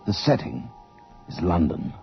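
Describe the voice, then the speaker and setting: A man announcing a radio drama in an ominous, theatrical and measured scripted style. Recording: muffled 1980 radio broadcast with music.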